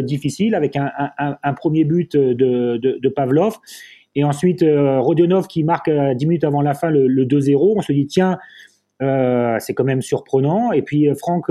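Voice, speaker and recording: A man whose first language is German, a football pundit guest in a casual podcast discussion, speaking haltingly and often restarting and repeating words. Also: on the high side, warm tone.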